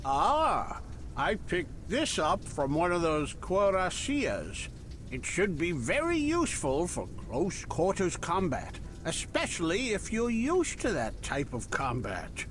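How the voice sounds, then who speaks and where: A man voicing a chatty, obliging weapons merchant from the musket era in a video game, talking in unhurried salesman patter. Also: sits high, wildly swooping pitch, fairly bright tone, slight background noise, heavily acted. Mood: helpful, showy. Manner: unhurried, conversational salesman patter with dramatic pauses. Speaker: game shopkeeper character.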